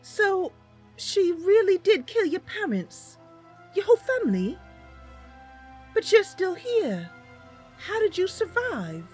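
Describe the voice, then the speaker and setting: A woman's voice: extremely high pitch, sing-song, slow, pauses often, a little mellow, soft background music, full character voice. A woman voicing a perky, inquisitive young wood elf adventurer in a game roleplay, chatty and wide-eyed. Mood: concerned.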